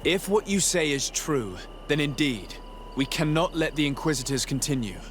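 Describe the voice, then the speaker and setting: An actor playing a composed young noble diplomat in a video game, formal, articulate and courteous. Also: male voice, a little high, animated, normal speed, a bit bright, slight background noise. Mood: serious, considering.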